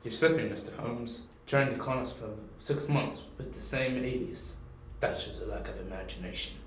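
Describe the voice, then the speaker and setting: A man acting a smug, ruthless crime boss who speaks with deliberate menace in an amateur audio drama. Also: medium pitch, close to an everyday voice. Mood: mocking. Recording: very echoey, distant, faint noise.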